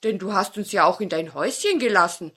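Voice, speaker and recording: A woman's voice: medium pitch, lively intonation, fast, a bit bright, husky. Woman doing a friendly old storybook dog. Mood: eager.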